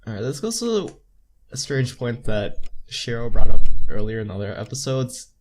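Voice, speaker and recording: A man's voice: mid-range voice, very animated, normal speed, a little mellow. A young man hosting a game playthrough, reading story text aloud and commenting on it informally. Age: young adult. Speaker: playthrough host.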